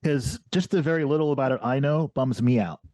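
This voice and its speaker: Male podcast host in his forties from Ohio, warm low timbre, dry close mic, relaxed conversational delivery. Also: a little fast, no echo. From Ohio, USA. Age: 40s. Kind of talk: casual interview.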